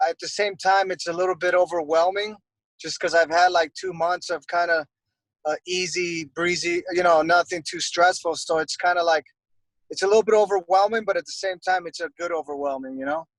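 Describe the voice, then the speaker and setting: A young man from Costa Rica chats easily as an interview guest, rambling with many fillers. His voice sits a little high for a man. The sound is dry and close. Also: fairly even intonation, fairly quick, fairly bright tone, no room sound, rough recording. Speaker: guest, airbrush artist. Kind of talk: casual interview chat.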